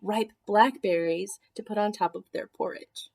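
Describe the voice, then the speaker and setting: A woman reading a children's story aloud like a teacher, with expressive, deliberate storytelling. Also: medium pitch, vocal fry. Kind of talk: storybook read-aloud narration with asides.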